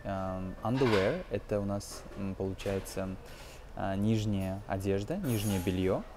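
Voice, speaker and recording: A man in his twenties giving an informal language lesson as a teacher, explaining phrases in a halting, hesitant way. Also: fairly low pitch, background noise. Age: 20s. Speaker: English teacher.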